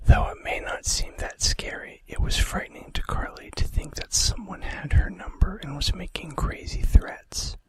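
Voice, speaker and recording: Whispering man, breathy ASMR narrator. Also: on the high side. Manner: hushed, deliberate scripted reading with soft casual asides. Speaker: ASMR video host.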